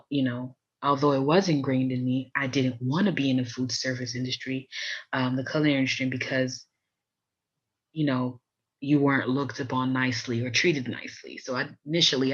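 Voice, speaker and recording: A woman's voice: very deep voice, breathy edge, muffled recording. An American woman from Miami hosting a podcast, telling personal stories in an introduction. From Miami, USA. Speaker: podcast host.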